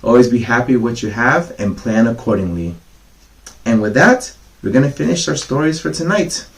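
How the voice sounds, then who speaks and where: A man reading children's bedtime fables as a storyteller, with casual, gently instructive asides to the kids. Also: mid-range voice, lively intonation, normal speed, vocal fry, rough recording. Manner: gently didactic, explains each moral.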